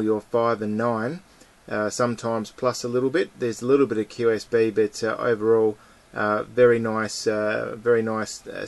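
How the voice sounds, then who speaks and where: A man with an Australian accent chatting as an amateur radio operator, using call signs, recorded indoors. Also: on the low side. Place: indoors beside the radio transceiver.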